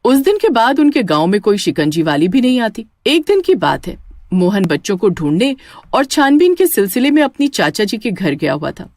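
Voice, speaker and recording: Lively woman narrating a story. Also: mid-range voice, normal speed, no room sound. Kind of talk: scripted dramatic story narration.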